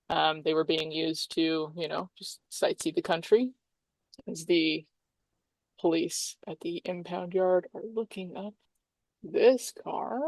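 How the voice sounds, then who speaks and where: A woman game master narrating a tabletop roleplaying game in a halting, informal, explanatory way. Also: on the low side, vocal fry, a little mellow, no room sound.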